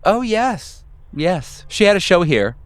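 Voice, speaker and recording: A man plays a woman gallery owner in a tabletop horror game, pitched up high and clean in tone. She is prim but easily flustered, stammering and repeating herself as she tries to oblige. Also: sing-song, slow, no room sound, full character voice. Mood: wistful, chatty. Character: stern, thin female gallery owner, flustered and fawning.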